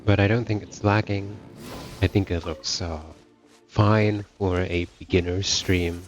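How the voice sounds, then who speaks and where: A man's voice: sits low, steady tone, a little slow. A young man chatting casually as he streams a video game. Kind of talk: casual gaming livestream commentary. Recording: dull mic, game music behind. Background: faint game music.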